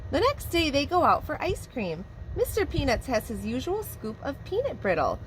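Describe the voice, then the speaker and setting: A woman preschool teacher reading a picture book aloud to young children in a warm, expressive storytelling manner. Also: high voice, moderate pace, a little hiss or hum, poor audio.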